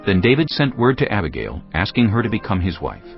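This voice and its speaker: A man narrating scripture from a script in a measured, solemn storytelling manner. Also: fairly low pitch, huge pitch swings, muffled recording, soft background music.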